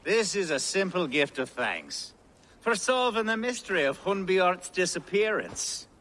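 An actor playing a genial, ingratiating wealthy Saxon lord in a video game, with an effusive, flowery manner. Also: male voice, sits high, sing-song, unhurried, fairly bright tone, full character voice. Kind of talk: video game drama acting.

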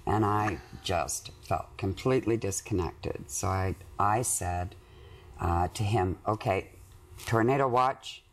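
A woman in her fifties with a husky, gravelly voice, talking candidly and emphatically about personal matters in conversation. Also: extremely low pitch, slow pace. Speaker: client in couples therapy interview. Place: small indoor TV studio living-room set.

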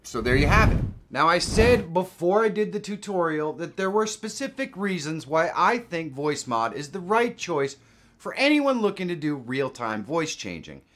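Tutorial presenter: American male, fifties, lively intonation, casual and emphatic. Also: a little high, moderate pace. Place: small indoor home studio.

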